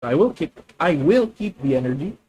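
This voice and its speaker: A young man with a Filipino accent streaming a card game, thinking out loud about his moves, correcting himself and talking to his viewers. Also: on the high side, big pitch swings, moderate pace, lo-fi sound, slight background noise. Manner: thinking aloud, self-correcting, addresses viewers. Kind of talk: live gameplay commentary.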